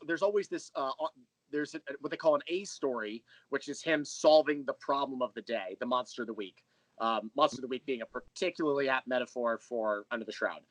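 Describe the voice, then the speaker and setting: A man's voice: medium pitch, pushed and bright, no room sound, rough recording. A man speaking as an interview guest, explaining things in detail in a conversational way. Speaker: interview guest, audio drama creator.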